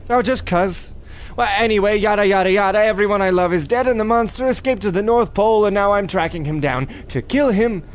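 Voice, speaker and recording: Radio comedy, mad scientist male actor: young, very high voice, nervous, digressive, melodramatic. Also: fairly quick, close to an everyday voice. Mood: rambling, defensive. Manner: flustered, evasive, dramatic, rambling. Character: nervous, rambling young mad scientist who made a monster. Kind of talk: parody radio play acting.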